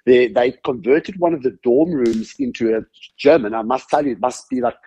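A man's voice: medium pitch, moderate pace, warm tone, slightly rough, dry recording, highs cut off. A man from South Africa whose first language is Afrikaans, speaking with a South African accent as a guest, telling his story in a loose, chatty way full of fillers. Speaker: podcast guest. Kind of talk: casual interview conversation.

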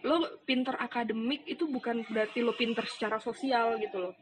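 A woman's voice: on the high side, steady tone, fairly quick. A young woman, chatting casually and telling stories in slangy speech. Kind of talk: casual chat.

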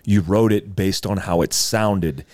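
A man's voice: low-pitched, a little slow, a little mellow, dry recording. A man hosting a casual interview, reacting with enthusiasm and trailing off mid-sentence. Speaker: podcast host.

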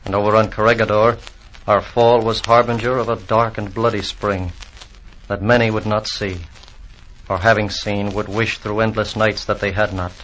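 An actor playing a weary, solemn fallen American soldier reciting verse in a 1940s radio drama. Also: male voice, on the low side, little pitch movement, moderate pace, a bit bright, lightly acted. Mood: solemn, grave. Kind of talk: 1940s radio acting, blank verse monologue. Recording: faintly noisy 1940s radio recording.

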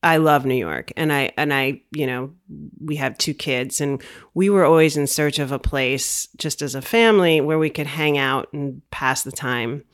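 A woman's voice: sits low, moderate pace. A woman business owner being interviewed, telling her story in a casual, conversational way. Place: small office room.

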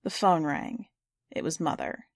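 A woman's voice: low voice, huge pitch swings, a little slow, creaky, no echo, studio quality. Woman reading a short story aloud. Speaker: podcast host and story reader.

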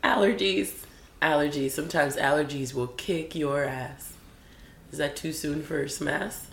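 Raspy, gravelly-voiced laid-back woman. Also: low voice, a little slow, reverberant. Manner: laid-back, laughing often. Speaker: podcast co-host. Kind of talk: casual chat.

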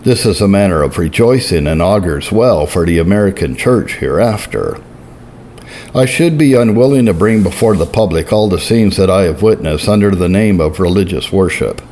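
A man giving a solemn, deliberate reading of historical religious writing, slowing down to stress key phrases. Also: sits low, animated, mellow, a little hiss or hum. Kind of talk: measured scripted reading.

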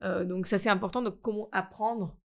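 A woman's voice: medium pitch, steady tone, moderate pace. A middle-aged woman from India, talking hesitantly with many fillers as an interviewee about founding a school. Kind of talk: conversational interview.

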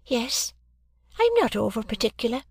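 Guy putting on a mild, soft young village woman, voice lifted way up, dry close sound. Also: extremely high pitch, very animated, normal speed, vocal fry, husky, dry recording, voice pushed up, heavily acted. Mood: quiet.

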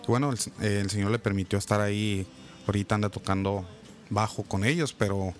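A man with a somewhat low voice hosts a live radio interview. He talks in a relaxed, chatty way, sprinkling in religious remarks. Soft music runs faintly behind him. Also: brisk. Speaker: radio host and music producer.

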